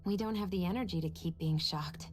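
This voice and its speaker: An actress voicing a reserved, composed young woman in a video game cutscene, speaking in a measured way. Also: lowish voice, lightly acted.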